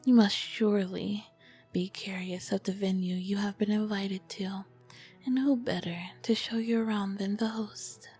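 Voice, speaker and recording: Casting: female performer as charming, dangerous royal vampire queen for ASMR roleplay; breathy whispered delivery, unhurried, courtly and flirtatious. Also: mid-range voice, fairly even intonation, dark tone, faint music behind. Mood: courteous, inviting. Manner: slow, formal, flirtatious phrasing. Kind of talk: ASMR roleplay, scripted and intimate.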